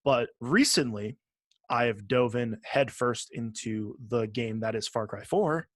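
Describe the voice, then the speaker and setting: A man's voice: mid-range voice, measured pace, a bit bright, no room sound, studio quality. A man co-hosting a podcast, chatting casually about video games with wry mock-formal phrasing and some swearing. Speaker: podcast co-host.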